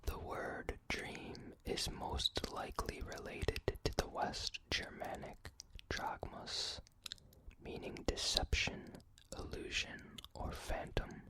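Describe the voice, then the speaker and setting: A person reading facts in an unvoiced ASMR whisper, carefully and in short phrases with pauses between them. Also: low-quality recording. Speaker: reader.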